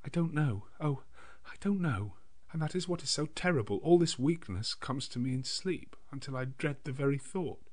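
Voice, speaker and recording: A man voicing a frail, gentle young woman in an audiobook, earnest and sweetly trusting. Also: fairly high pitch, normal speed, voice pushed up, close to an everyday voice. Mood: fearful. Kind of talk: dramatised audiobook character dialogue.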